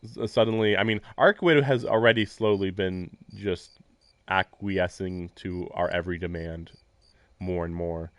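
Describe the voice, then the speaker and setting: A male live streamer with a somewhat low voice gives casual game commentary. He rambles and muses in a jokey way, moving between reading narration and his own remarks. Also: steady tone, measured pace, a bit bright, no room sound.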